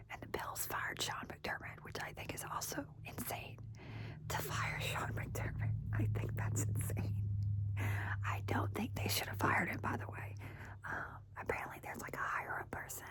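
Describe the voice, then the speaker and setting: A woman whispering casually through a long chatty stretch, full of fillers and strong opinions as a football fan. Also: low-quality recording.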